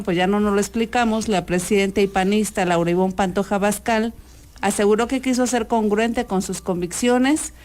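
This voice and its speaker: A Mexican woman reports the news live in a medium-pitched voice. She talks conversationally to the host, feeling her way through long, winding sentences. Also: darkish tone.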